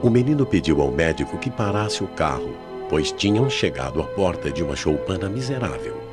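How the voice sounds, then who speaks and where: A man with a Brazilian accent narrating a radio mystery drama in a solemn, theatrical way with deliberate phrasing. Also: sits low, very animated. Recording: old AM radio tape, music underneath.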